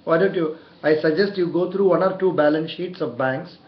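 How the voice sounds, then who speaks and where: A man's voice: a little high, fairly quick. A man in his sixties with an Indian accent, interviewing and coaching a candidate with frequent short words of encouragement. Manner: encouraging, repeats short approvals. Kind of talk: mock job interview over the telephone. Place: small indoor room. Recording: dull, echoey room recording.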